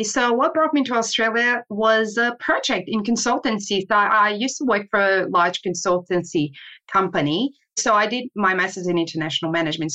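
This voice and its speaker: A Brazilian woman, a native Portuguese speaker, talking through her career as a guest in a relaxed interview, with restarts and light laughs. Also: medium pitch, lo-fi sound. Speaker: guest, board member and consultant.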